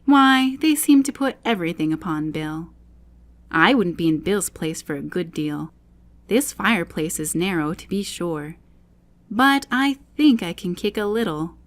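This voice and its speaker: A woman's voice: on the low side, expressive, unhurried, no room sound. A woman voicing a plucky young girl in a storybook, musing aloud to herself. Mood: wry, determined.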